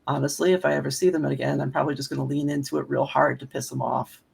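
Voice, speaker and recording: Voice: mid-range voice, flat delivery, quick pace, vocal fry, a little mellow, slightly rough. A speaker with a male-sounding voice telling personal stories casually, in an informal and candid way, as a panelist.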